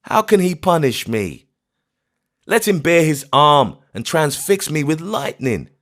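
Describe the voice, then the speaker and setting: A man voicing a grief-maddened old astronomer, who rages in bitter, anguished outcries, pitched far above his own voice. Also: sing-song, measured pace, a bit bright. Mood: raving.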